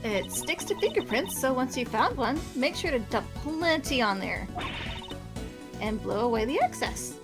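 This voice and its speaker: A woman doing an eager young forensic scientist from a game, helpful and upbeat. The voice sits high and leaps about in pitch. Game music plays clearly behind her. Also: big pitch swings, normal speed. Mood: cheerful. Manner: cheerful, helpful, explanatory. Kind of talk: fan dub of a game.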